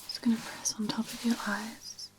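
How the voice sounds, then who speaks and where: A woman doing a soft-spoken ASMR medical roleplay as a physiotherapist, with a gentle, reassuring, whispery manner. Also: a little high, level tone, normal speed, rough recording. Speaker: ASMR performer playing a physiotherapist.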